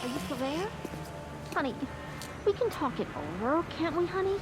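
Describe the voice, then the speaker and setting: A woman's voice: high-pitched, expressive, normal speed. An actress playing a brash, snappy, indignant city woman in a television drama. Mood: pleading. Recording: loud music behind voice.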